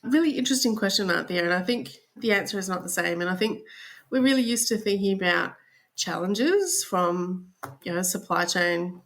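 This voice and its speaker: A woman's voice: on the low side, lively intonation, moderate pace, a bit bright. A woman with an Australian accent, explaining things conversationally as a guest in a podcast interview.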